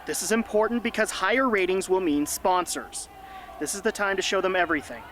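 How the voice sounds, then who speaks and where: A man playing a gruff, sardonic middle-aged mentor in a radio drama, speaking bluntly and wryly. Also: sits high, fairly quick, fairly bright tone. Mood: urgent, instructive. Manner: blunt, wry, emphatic. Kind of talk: hobby radio drama acting.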